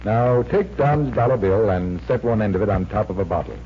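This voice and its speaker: A man's voice: lowish voice, a little fast, lightly acted. An actor playing a confident, genial magician detective who explains things like an assured showman, in 1940s radio drama style. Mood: genial, teasing. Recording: muffled, hissy 1940s radio recording.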